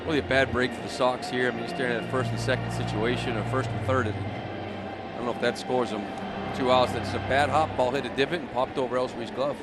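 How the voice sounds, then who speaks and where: A man's voice: medium pitch, fairly even intonation, quick pace, loud music behind the voice. A man giving color commentary and analysis on a live baseball radio broadcast. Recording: very noisy outdoor ballpark broadcast. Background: loud outdoor ambient noise.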